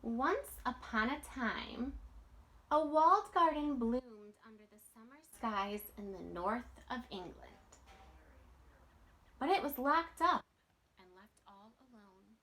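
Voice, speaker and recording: A woman reads a children's storybook aloud in a fairly high voice that moves up and down a lot. She takes her time, setting each phrase apart with deliberate pauses, like a teacher reading to young listeners. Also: low-quality recording. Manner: expressive, deliberate, pausing between phrases.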